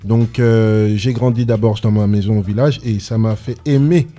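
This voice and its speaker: Earnest low-voiced man, mellow tone. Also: fairly even intonation, moderate pace, soft background music. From France, Congolese descent. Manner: earnest, passionate, rambling with restarts. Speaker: reggae artist guest. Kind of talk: casual radio interview.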